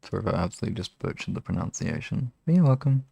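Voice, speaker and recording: Low, gravelly man in relaxed ASMR chat. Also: racing, dry recording. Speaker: ASMR hypnosis live streamer. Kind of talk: casual ASMR chat with listeners.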